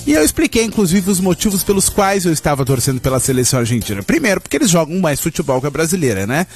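A man's voice: fairly high pitch, very animated, fast, faint music behind. A Brazilian man hosting a radio interview, chatty and rambling, speaking from the studio. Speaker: radio host.

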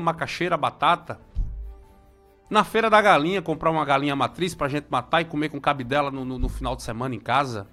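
Man, forties, from Brazil, high-pitched, rambling, warm-hearted local talk, faint music underneath. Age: 40s. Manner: nostalgic, chatty, many greetings and restarts. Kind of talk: casual local commentary.

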